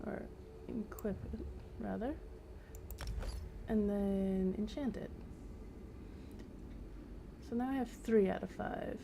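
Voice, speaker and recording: A woman's voice: medium pitch, slow pace, creaky, pauses often, dark tone, slight background noise. A woman giving casual live-stream game commentary, rambling as she thinks aloud, with wry asides.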